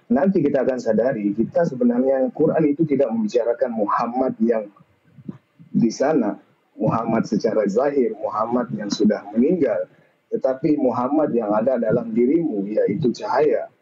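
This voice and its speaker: A man's voice: mid-range voice, normal speed, a crowd in the background. A man from Malaysia explaining his views in an informal religious discussion, in an agreeable, reflective way. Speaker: discussion participant. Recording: dull lo-fi call-like audio.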